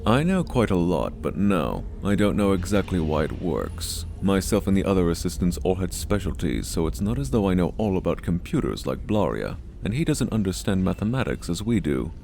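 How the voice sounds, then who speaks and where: An actor playing a stern, condescending senior scientist in an audio drama, speaking in measured, authoritative phrases. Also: male voice, sits low, sing-song, vocal fry, a little mellow, a little hiss or hum, exaggerated voice. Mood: composed, measured. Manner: measured, authoritative, clipped orders.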